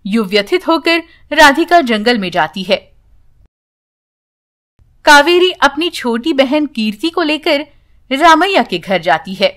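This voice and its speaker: Female children's story narrator: very high voice, unhurried scripted read. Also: very animated, fairly bright tone.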